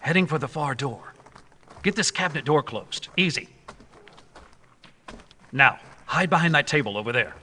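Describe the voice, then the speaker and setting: Bright-voiced commanding radio hero played by a man, echoing hall. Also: fairly high pitch, expressive, moderate pace, long pauses, a little hiss or hum. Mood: tense, watchful. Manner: crisp, decisive, commanding. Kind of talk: old-time radio adventure acting recreated live. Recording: live stage performance in reverberant hall.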